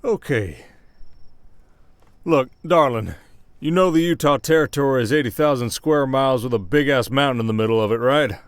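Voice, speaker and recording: An actor playing a world-weary, gruff werewolf sheriff with an American Western drawl, folksy and scolding, in an ASMR roleplay. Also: male voice, medium pitch, moderate pace, no echo, natural voice. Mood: suspicious, exasperated. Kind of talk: ASMR roleplay acting, Old West.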